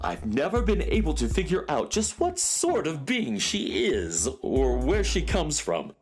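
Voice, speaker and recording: A man's voice: fairly high pitch, huge pitch swings, a little slow, soft background music. A man playing a fussy, earnest, eccentric handyman inventor in a children's radio serial, speaking precisely and theatrically. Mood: earnest explaining.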